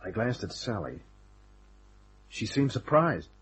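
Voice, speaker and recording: A man's voice: on the low side, big pitch swings, slow, slight background noise. An actor playing a wry, tough private detective in a radio drama, wisecracking and hard-boiled, who also narrates his case. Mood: hard-boiled narration. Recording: dull, band-limited old radio recording.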